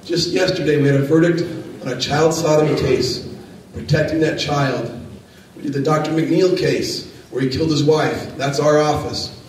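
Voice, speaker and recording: A hoarse man gives a firm campaign speech, hammering his points home and repeating them. His voice is a little higher than most men's, and it comes from some distance off in a very echoey hall with a faint hiss underneath. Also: steady tone, moderate pace. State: losing his voice. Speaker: candidate, current county attorney. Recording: echoey room from far off, faint noise.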